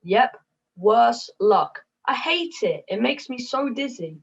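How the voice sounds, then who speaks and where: A woman's voice: fairly high pitch, animated, slow, slightly rough, exaggerated voice. A young woman voicing a put-upon, droll fluffy puppet in an amateur play over a video call. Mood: droll grumbling. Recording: muffled video call audio.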